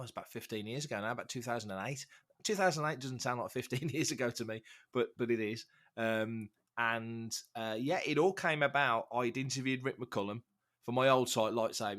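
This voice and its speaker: A man's voice: medium pitch, fairly bright tone. A man guesting on a podcast who is getting over a cold, sounding bunged up, chatting and telling anecdotes in a self-deprecating way. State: congested from a cold. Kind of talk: casual podcast chat.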